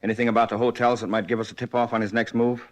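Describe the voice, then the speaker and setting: An actor playing a brisk, no-nonsense police chief questioning his detectives, in a 1950s radio drama. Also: medium pitch, little pitch movement, close to an everyday voice. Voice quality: clipped, rapid questioning.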